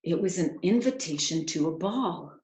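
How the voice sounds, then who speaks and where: A woman reading a picture book aloud with expressive, dramatic storyteller phrasing, drawing out key words. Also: fairly low pitch, normal speed, echoey room. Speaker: children's author reading aloud.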